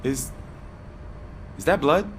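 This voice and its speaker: A man's voice: fairly high pitch, takes it slowly, a little hiss or hum. An actor playing a young, deferential rookie policeman in a video game, polite, earnest and a little hesitant. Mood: uneasy. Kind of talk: scripted video game acting.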